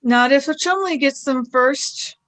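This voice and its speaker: A woman's voice: high-pitched, measured pace, a bit bright. A woman playing an anxious young companion in an amateur radio play, worried and pleading.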